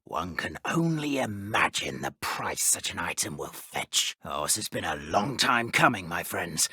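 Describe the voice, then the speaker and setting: A man voicing a pompous, sneering dark wizard villain in a video game, with theatrical, formal, old-fashioned phrasing. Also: mid-range voice, vocal fry, husky, no echo, studio quality, heavily acted. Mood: low, scheming.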